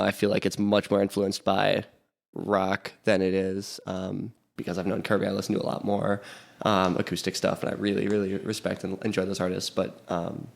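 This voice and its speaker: A man who plays bass in the band, talking eagerly in a casual interview and often agreeing with the others. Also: creaky. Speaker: band bassist.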